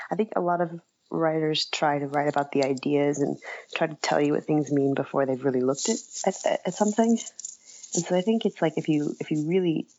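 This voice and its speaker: Female interview guest, writer. Deep-set, gravelly fry, hesitant and modest conversational delivery, dull close recording. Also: darkish tone, dry recording. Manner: tentative, self-deprecating, searching for words.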